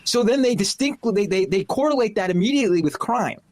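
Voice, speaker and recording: A man talking on a discussion panel in a fairly high voice, recorded dry and close. He rambles, repeating words and leaning on fillers as he gathers his thoughts. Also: lively intonation, dry recording. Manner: rambling, halting, many fillers. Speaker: show panelist.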